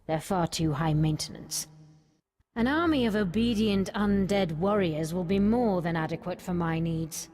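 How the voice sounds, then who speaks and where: A woman's voice: fairly low pitch, moderate pace, darkish tone, close to an everyday voice. A woman playing a haughty, scheming villain in a fantasy video game, with an imperious, disdainful manner. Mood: coolly disdainful. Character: haughty, scheming female villain raising undead army.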